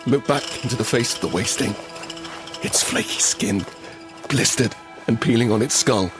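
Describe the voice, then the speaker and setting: An actor playing a frightened survivor in an audio drama, narrating urgently in the first person and present tense in fragmented phrases. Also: male voice, medium pitch, normal speed, over music, natural voice. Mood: panicked. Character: desperate, frightened male survivor of a monster apocalypse. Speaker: narrator and lead character.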